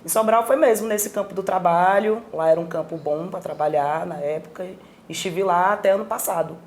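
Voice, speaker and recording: A woman from Piauí, a psychologist answering interview questions in a measured way. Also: lowish voice, darkish tone. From Piauí, Brazil. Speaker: guest, psychologist.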